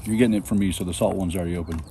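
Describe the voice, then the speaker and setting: A man's voice: deep voice, steady tone, very fast, mellow, slight background noise. A man making offhand joking asides from a distance at a campsite. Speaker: co-host. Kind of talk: casual chat. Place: campsite outdoors. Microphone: off-mic, heard from a distance.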